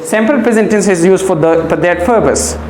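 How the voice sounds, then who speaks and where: A man's voice: sits high, fairly quick, a crowd in the background. A man giving a classroom grammar lesson as a teacher, repeating key phrases and checking that pupils follow. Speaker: English teacher. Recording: echoey classroom.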